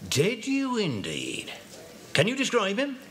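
A man voicing a brisk middle-aged police inspector in an audio drama, who asks questions in a friendly, businesslike way. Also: high voice, wildly swooping pitch, sharp tone, pitched above the performer's own voice, exaggerated voice.

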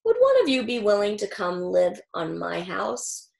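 A woman voicing a small, gentle and polite hermit crab in a storybook, earnest and admiring. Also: mid-range voice, huge pitch swings, a little slow, gravelly, reverberant, exaggerated voice. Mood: admiring, hopeful. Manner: courteous, earnest storybook delivery.

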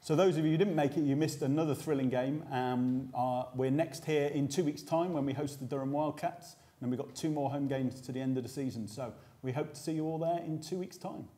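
Host: British man, 40s, mellow measured tone, calmly breaks down the game. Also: on the high side. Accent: British English. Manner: measured, explanatory. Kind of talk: post-match analysis chat. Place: small indoor room before a sponsor backdrop.

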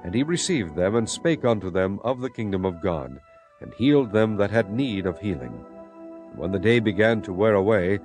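Male narrator, low pitch, animated intonation, dramatized scripture reading. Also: normal speed, soft background music.

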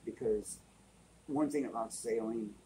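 A man's voice: fairly low pitch, unhurried, background noise. A man in his fifties, host of a sailing channel, casually explaining tools in a plain, practical way with frequent fillers, recorded on a webcam in a cluttered indoor workshop.

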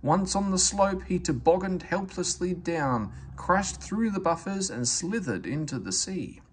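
A man's voice: high-pitched, lively intonation, measured pace, a little hiss or hum. A man reading a children's story aloud as scripted narration. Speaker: storybook reader.

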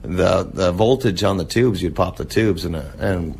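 A man speaking casually as an interview guest, halting and reminiscing as he goes. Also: low voice, creaky, darkish tone, breathy edge. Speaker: interview guest, old-time radio collector and restorer. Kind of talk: casual radio interview talk.

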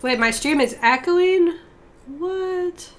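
A woman's voice: very high voice, animated, slow, slight background noise. A young woman streaming a team shooter match, chatting casually and calling out plays, her nose stuffed up from a cold. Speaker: gaming streamer. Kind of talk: casual gameplay commentary with team callouts.

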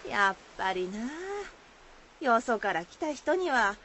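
Lively troubled village diver. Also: female voice, medium pitch, slow pace. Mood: wary, resigned. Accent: rural Shizuoka, Izu dialect. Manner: humble, earnest rustic speech. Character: troubled, plain-spoken village woman who dives for shellfish. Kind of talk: radio drama acting of a folktale. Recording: faint background noise.

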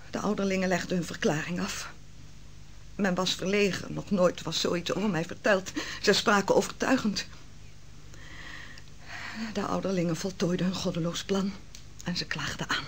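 A woman's voice: mid-range voice, slight background noise, natural voice. Woman voicing an accused young wife, grave, anguished, old radio play. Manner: grave, recounting. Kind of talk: 1960s Dutch radio drama.

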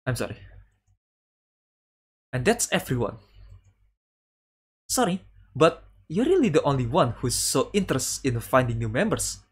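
A man streaming a visual novel, reading dialogue aloud haltingly and adding casual commentary. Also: medium pitch, very animated, breathy edge. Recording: music playing behind the voice. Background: guitar game music.

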